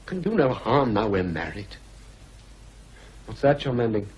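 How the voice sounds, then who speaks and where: An actor playing a sickly, peevish young husband in a radio drama, with a whiny, fretful way of talking. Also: male voice, lowish voice, very animated, a little slow, darkish tone. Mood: whining.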